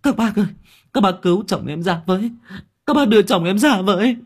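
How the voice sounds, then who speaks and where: A man voicing a grief-stricken young widow who wails and stammers desperate pleas in a told story. His voice is husky. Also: high-pitched, expressive, brisk, pitched above the performer's own voice. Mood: desperate pleading. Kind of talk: storybook acting.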